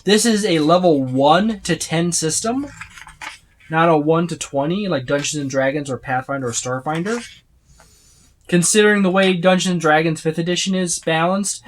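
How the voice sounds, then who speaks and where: A man's voice: on the high side, normal speed, slight background noise. A man giving a casual, unscripted walkthrough as a reviewer, rambling and listing items as he browses. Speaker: tabletop game book reviewer.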